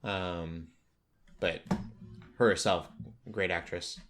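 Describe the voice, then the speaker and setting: Low-pitched man chatting on a podcast. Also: steady tone, slow, background noise. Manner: rambling, goes off on tangents. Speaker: podcast host. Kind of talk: casual pop culture chat.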